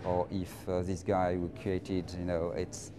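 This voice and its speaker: A middle-aged man with a French accent and a low-pitched voice gives conversational interview answers. He is emphatic yet halting, circling back over his phrases. Clear background noise runs through the recording. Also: completely flat delivery. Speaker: interviewee, finance professional.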